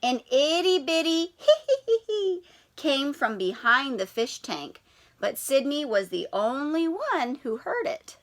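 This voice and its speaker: Woman teacher, children's read-aloud, expressive, lively swooping voice, high and bright. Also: a little slow. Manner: animated, expressive storytelling with questions to children.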